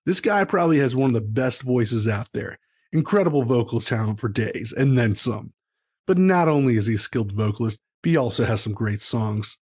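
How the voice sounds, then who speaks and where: An upbeat man works as a podcast host, moving between show introductions and casual interview talk. His voice sits at a middling pitch, and his delivery is promotional and friendly in wording. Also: expressive, brisk, a little mellow, slightly rough.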